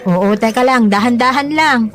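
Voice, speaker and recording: Gentle, reassuring Filipino woman played by an actress. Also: on the high side, moderate pace, soft background music, lightly acted. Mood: caring. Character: caring, generous girlfriend soothing her troubled lover. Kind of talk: radio drama acting.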